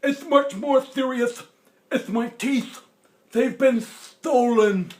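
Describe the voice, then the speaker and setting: A man voicing a storybook grandfather, old, toothless and indignant, with a lisp and drawn-out, loud exclamations. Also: extremely high pitch, pitched above the performer's own voice. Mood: indignant distress. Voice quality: lisping, toothless speech.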